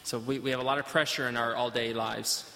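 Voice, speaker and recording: A man interpreting a sermon live, halting often with fillers as he searches for words. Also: medium pitch, steady tone, bright, forceful tone, big-room sound, low-quality recording. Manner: hesitant, halting, many fillers.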